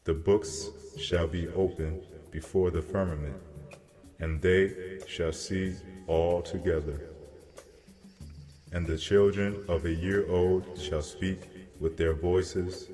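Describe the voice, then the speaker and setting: A man playing a solemn angel messenger who delivers grave prophecy in a measured, declamatory way, as in a dramatized scripture reading. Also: deep voice, fairly even intonation, warm tone. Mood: grave, prophetic. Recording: long cavernous reverb, music behind. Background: music.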